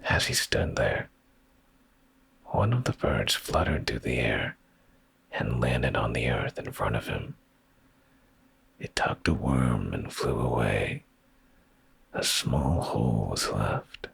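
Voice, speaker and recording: A man with a very deep, gravelly, breathy voice reads bedtime stories in a soothing, intimate, hushed ASMR style. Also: animated, slow, long pauses. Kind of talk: slow whispery ASMR bedtime storytelling.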